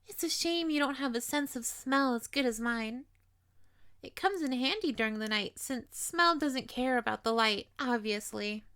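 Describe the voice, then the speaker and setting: High-voiced, possessive lamia girl, played by a woman. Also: moderate pace, fairly bright tone, clean tone. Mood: coaxing, teasing. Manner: coaxing, teasing, addressing listener with pet names. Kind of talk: intimate roleplay audio drama monologue.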